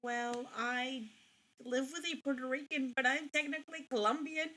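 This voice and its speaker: A woman's voice: high-pitched, level tone, moderate pace, pushed and bright. A young woman chatting casually while playing a game, rambling with frequent restarts. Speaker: streamer. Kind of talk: casual gaming chat.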